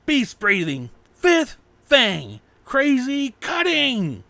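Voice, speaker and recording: A man voicing a wild, cocky young warrior, loud and boastful, for a fan fiction audiobook. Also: very high voice, wildly swooping pitch, extremely slow, vocal fry, dry recording, pitched above the performer's own voice, heavily acted. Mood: battle frenzy.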